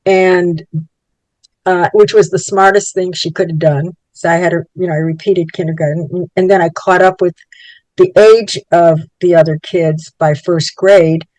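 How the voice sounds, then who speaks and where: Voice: medium pitch, fairly even intonation, normal speed, a little mellow, no room sound, poor audio. Elderly Yiddish-native survivor, thoughtful. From Poland. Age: 80s. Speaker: Holocaust child survivor giving testimony. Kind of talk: personal recollection for a documentary.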